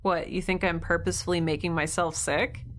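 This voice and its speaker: A woman's voice: fairly low pitch, steady tone, brisk, a bit bright, slight background noise, close to an everyday voice. An actress playing a blunt young woman scientist, held captive, who speaks in a dry, sarcastic way.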